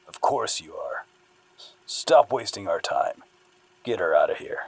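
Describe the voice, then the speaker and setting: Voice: low-pitched, big pitch swings, measured pace, vocal fry, noisy background, heavily acted. An actor playing a stern, official police interviewer taking a witness statement in an audio drama. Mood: scornful, accusing. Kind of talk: dramatic audio fiction acting.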